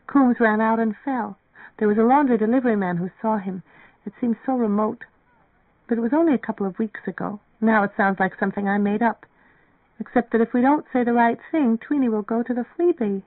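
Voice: medium pitch, fairly quick, no echo, narrow, tinny audio, voice pushed up, close to an everyday voice. A narrator voicing a tired, restless young woman in an audiobook, with weary, murmured, plaintive lines. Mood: subdued. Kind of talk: audiobook character dialogue.

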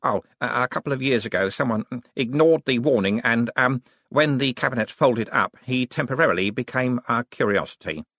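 A man's voice: mid-range voice, a little fast, hardly any pauses, a bit bright, no room sound, studio quality, pitched above the performer's own voice. A man voicing a friendly, flustered young wizard scholar in an audiobook, modest but bookish, hesitating and stammering with many ums. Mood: hesitant.

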